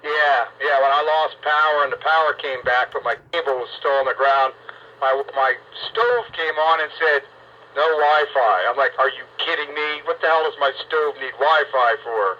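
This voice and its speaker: A man's voice: a little high, steady tone, moderate pace, pushed and bright. A man chatting casually over a radio link, blunt and wry. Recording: dull band-limited radio link, faint noise.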